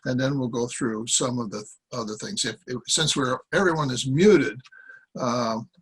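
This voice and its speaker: An older American man speaks in a husky voice at a middling pitch. He gives an informal talk over a video call, rambling and often halting to correct himself. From Iowa, United States. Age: 70s. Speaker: guest speaker, former journalist. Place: small cluttered room with boxes, indoors. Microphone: computer microphone on a video call.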